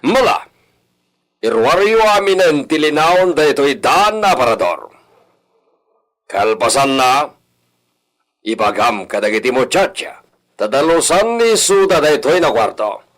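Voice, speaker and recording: A stern household master in an Ilocano radio drama, acted by a man. He speaks very slowly and deliberately with an Ilocano accent, his mid-pitched voice swinging expressively as he gives orders or broods. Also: expressive, fairly bright tone. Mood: commanding. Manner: deliberate, commanding.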